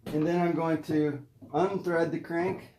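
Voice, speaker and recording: A man's voice: on the high side, steady tone, a little slow, a little mellow. A man giving a careful, step-by-step hands-on demonstration, explaining as he goes in an unscripted way. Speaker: presenter demonstrating an antique gramophone. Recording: roomy echo, faint noise and clicks.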